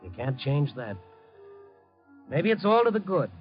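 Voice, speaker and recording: An actor playing an earnest, hard-boiled newspaper reporter, urgent and moralizing, in a 1950s radio drama. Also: male voice, on the high side, sing-song, measured pace, soft background music. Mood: reflective. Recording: dull vintage radio broadcast recording.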